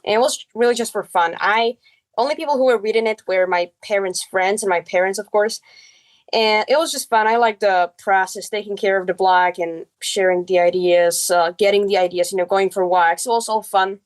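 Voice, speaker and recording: A woman's voice: medium pitch, moderate pace. A girl in her early teens, a native Czech speaker with a Czech accent, telling her story casually as a podcast guest. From Czech Republic.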